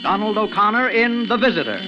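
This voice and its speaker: A formal man hosting a 1940s radio program. His voice is high and highly animated, set over prominent music. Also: a little fast, rough recording. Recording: 1940s radio broadcast recording.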